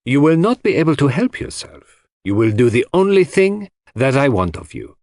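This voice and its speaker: A man voicing a shrewd, solemn foreign detective in an audiobook, speaking in a measured, emphatic and enigmatic manner. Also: mid-range voice, sing-song, a little mellow, no room sound, clean studio sound, pitched above the performer's own voice. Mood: quietly insistent.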